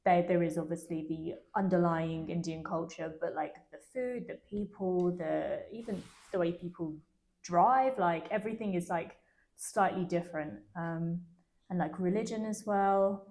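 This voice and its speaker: Young English woman, low-pitched, relaxed chatty guest. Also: normal speed. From England. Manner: chatty, laughs easily, many fillers. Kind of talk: casual interview chat.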